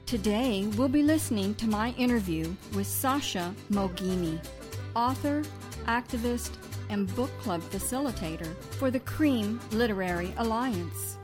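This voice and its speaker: A middle-aged woman hosting a program, reading a welcoming scripted introduction with measured delivery. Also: on the high side, lively intonation, over music. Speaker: podcast host and interviewer. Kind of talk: scripted intro then interview questions.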